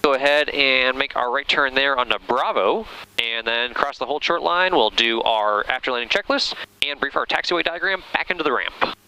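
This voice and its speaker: A man's voice: medium pitch, normal speed, poor audio. An American man giving step-by-step flying instruction and commentary from inside a small airplane, speaking on a headset. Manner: procedural, explains each step as it is done. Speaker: flight instructor. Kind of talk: instructional cockpit commentary with radio calls. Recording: clear aircraft engine and cabin noise. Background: steady engine drone.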